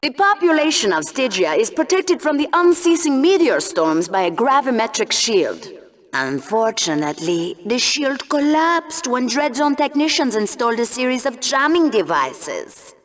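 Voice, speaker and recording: A woman's voice: sits high, big pitch swings, moderate pace. A woman playing a spiteful, sarcastic co-anchor in a video game's deadly game show, delivering polished mock news commentary with contempt. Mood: mock serious. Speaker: broadcast co-anchor.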